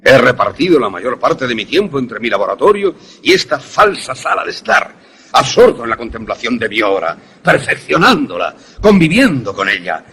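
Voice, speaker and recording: A man playing an obsessed, grieving widowed professor in a suspense radio drama, with a husky voice and formal, measured phrasing. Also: mid-range voice, very animated, fairly bright tone, full character voice. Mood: absorbed, intense.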